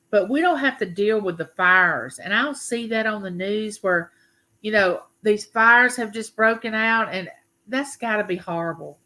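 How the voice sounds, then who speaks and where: Bright-voiced Southern woman in her fifties. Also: mid-range voice. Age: 50s. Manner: chatty, folksy, drawn-out phrases. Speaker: YouTube livestream host. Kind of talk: casual live chat with viewers.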